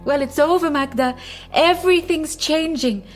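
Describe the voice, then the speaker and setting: A high-pitched radio drama portrait of a middle-aged East German mother acted by a woman. She carries grief and a defiant longing for freedom. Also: moderate pace, faint music behind, close to an everyday voice.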